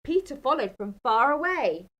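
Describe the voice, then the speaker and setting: High, very animated woman storyteller. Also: normal speed. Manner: dramatic, emphatic, asks the listeners questions. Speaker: children's storyteller. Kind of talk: bedtime Bible storytelling for children.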